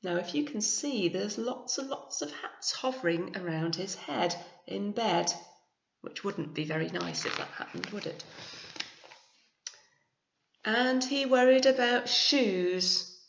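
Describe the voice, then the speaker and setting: A woman reading a picture book to young children like a teacher, expressively, with chatty asides and gentle questions to her listeners. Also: medium pitch, lively intonation, slow pace, creaky. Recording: echoey room, slightly muffled sound.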